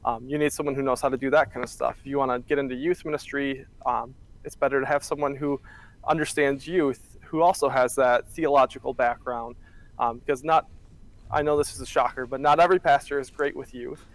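A young man in his twenties, a church media worker, answering interview questions in a relaxed, chatty way with many fillers, heard over a webcam from a small office. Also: medium pitch, brisk, no echo, poor audio. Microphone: webcam on a video call.

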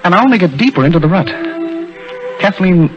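An actor playing an earnest, lovestruck young lawyer in a 1930s radio drama, speaking haltingly with repeated words. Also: male voice, sits high, animated, dull audio. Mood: troubled. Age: young adult. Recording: vintage 1930s radio broadcast with music.

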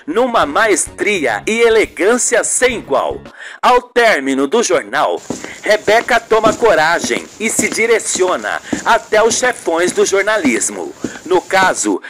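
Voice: medium pitch, a bit bright. A narrator with a Brazilian accent telling a scripted radio soap in a dramatic storytelling manner.

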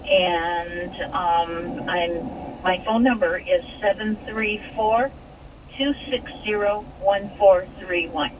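A woman phoning a radio show, listing items for sale in a measured, polite way. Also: lowish voice, steady tone. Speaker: caller. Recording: noisy phone call heard over radio.

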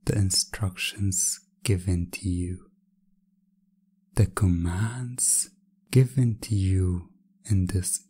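A man narrating hypnosis ASMR in a breathy, half-whispered voice, with a repetitive, commanding, hypnotic manner. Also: fairly low pitch, dark tone. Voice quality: breathy, often dropping to a whisper.

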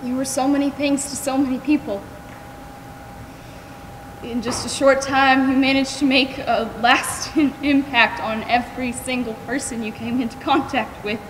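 An actress on a theatre stage playing a gentle, devout woman who comforts the dying, speaking tenderly and deliberately. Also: sits high, fairly even intonation, natural voice. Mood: grieving. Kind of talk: stage play acting. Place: large theatre stage, recorded from the audience. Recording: echoey theatre stage, some hiss.

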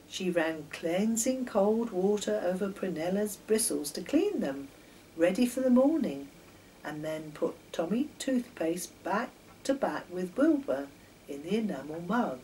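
An older woman reads a children's storybook aloud with a lively, swooping delivery. She stumbles a little over the page. The mic sits at a distance in a home room, with slight noise behind her. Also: lowish voice. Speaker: author reading her own children's stories. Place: home kitchen, indoors.

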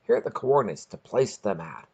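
A man voicing a brisk, authoritative female space captain who barks out orders in a short story reading. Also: on the low side, sing-song, darkish tone, slightly rough, full character voice. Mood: brisk, commanding. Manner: barking orders.

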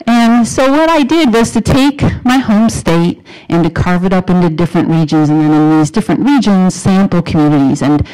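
A middle-aged woman from Wisconsin with a Wisconsin accent, giving an academic talk on a handheld mic in a lecture room, earnest and emphatic, pausing to rephrase. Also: fairly low pitch, animated, dark tone, smooth, poor audio. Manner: earnest, emphatic rising questions, pauses to rephrase. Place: lecture room in front of a projection screen. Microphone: handheld microphone.

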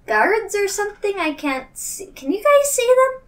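A woman's voice: extremely high pitch, very animated, moderate pace. A woman playing a tabletop game, chatting casually in a tentative, questioning way.

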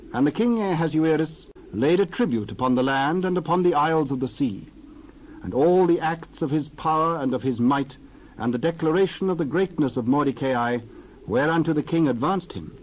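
A male Bible narrator with a mid-range voice reads in a measured, solemn manner. His phrasing is deliberate, as in a dramatized scripture reading, with some noise behind him. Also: mid-range voice, a little mellow, rough recording.

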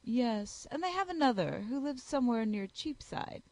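A woman's voice: on the high side, animated, normal speed, darkish tone, dry recording. A woman reading aloud, voicing a gossipy, snobbish married lady with a prim, disdainful manner.

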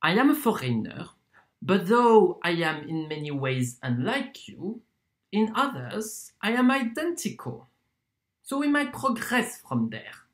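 An actor performs a proud itinerant torturer in a stage monologue. The delivery is unhurried and deliberate, full of weighted pauses. The mid-pitched voice swings widely up and down in a theatrical way. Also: very animated. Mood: persuasive, earnest. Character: proud wandering torturer from Poland, wheedling then contemptuous.

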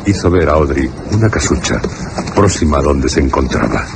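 A man's voice: a bass voice, expressive, moderate pace, gravelly, very noisy. A man narrating a suspense and horror radio drama in a scripted, dramatic style. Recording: radio drama with loud music behind. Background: suspense music.

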